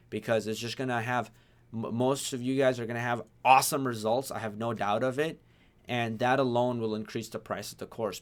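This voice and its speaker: Young male course instructor from Pakistan, smooth clear voice, easygoing livestream host. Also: on the low side. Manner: chatty, reads viewer names and questions aloud. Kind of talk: casual livestream Q&A.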